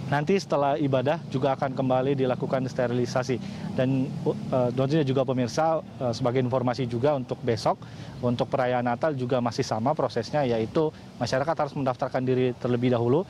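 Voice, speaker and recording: A young man giving a formal, somewhat halting live news report outdoors through a face mask. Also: medium pitch, a little mellow. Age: young adult. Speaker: field reporter. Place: outdoors in front of a large church. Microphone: handheld or chest-held reporter's microphone, through a face mask. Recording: noisy city street outdoors.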